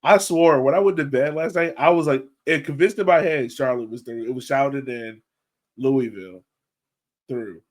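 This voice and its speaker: A man's voice: medium pitch, normal speed. A man speaking in an informal, colloquial way as he co-hosts a casual sports podcast. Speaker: podcast co-host.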